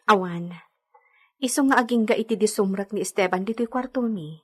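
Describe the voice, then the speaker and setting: A woman with an Ilocano accent playing a gentle, anxious young lady in a radio drama. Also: mid-range voice, a little fast, lightly acted.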